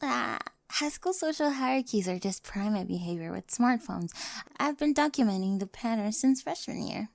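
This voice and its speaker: A woman playing a quirky, nerdy high school girl with a crush, in an ASMR roleplay with awkward, eager rambling and whispery asides. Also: expressive, normal speed, creaky, darkish tone, dry recording, studio quality. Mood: playful, chatty. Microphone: close microphone, dry.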